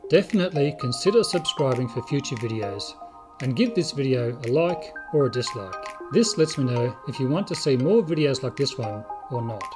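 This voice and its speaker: An older man, around sixty, with a slightly husky voice, giving a measured, scripted explainer as a video host. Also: mid-range voice, lively intonation, over music. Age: older, about 60. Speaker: video host, 3D print designer.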